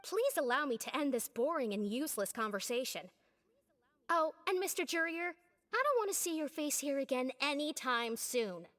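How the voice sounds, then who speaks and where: A prim young lady from a video game. Her voice is high and expressively melodic, polite with a haughty edge, ringing with long reverb. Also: lively intonation, normal speed, fairly bright tone. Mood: cold, dismissive. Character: haughty, sharp-tongued but courteous young lady.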